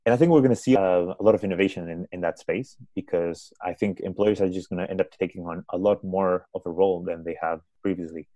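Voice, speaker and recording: Low-voiced guy w a spanish accent, thinks out loud, repeats words, dry sound. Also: fairly even intonation, fast talker, few pauses, no room sound. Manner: thoughtful, restarts words often. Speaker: podcast guest, startup founder. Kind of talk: conversational interview answers.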